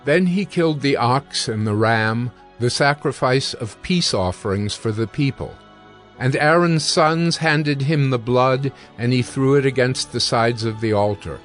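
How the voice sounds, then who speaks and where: A man giving scripted scripture narration in a measured, solemn manner. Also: medium pitch. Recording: background music under narration.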